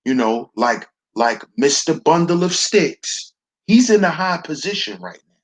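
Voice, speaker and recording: A man with a baritone voice hosting a slow, preachy commentary monologue, calling his listeners brothers. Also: big pitch swings, husky. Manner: preachy, addresses listeners as brothers, repeats "see". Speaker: commentary channel host.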